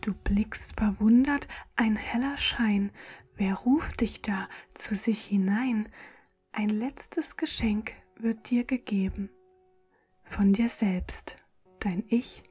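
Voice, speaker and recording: Slow, breathy whispering woman reading bedtime verse. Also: mid-range voice. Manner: gentle, soothing, slow rhythmic verse delivery. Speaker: bedtime poem narrator. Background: music.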